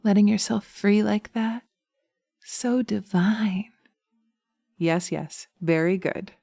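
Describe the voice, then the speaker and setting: A woman voicing a sly, seductive witch hypnotist in an ASMR roleplay, breathy and often whispering, coaxing and commanding on a close microphone. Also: medium pitch, dark tone, no echo. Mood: soothing, coaxing. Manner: slow, coaxing, taunting, commanding. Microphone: close binaural microphone.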